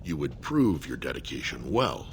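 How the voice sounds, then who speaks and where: A man playing a loyal, earnest dwarven soldier with a formal, measured delivery in a video game. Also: a bass voice, big pitch swings, a little hiss or hum, heavily acted. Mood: businesslike. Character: loyal dwarven soldier, solemn supporter of his lord. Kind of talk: scripted video game dialogue.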